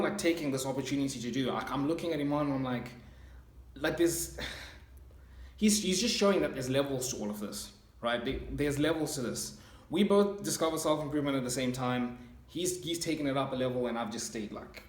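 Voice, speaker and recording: A man's voice: a little high, roomy sound. A man in his twenties talking earnestly and casually about self-improvement, with many fillers and restarts. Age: 20s. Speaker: self-improvement channel host. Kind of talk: casual motivational monologue.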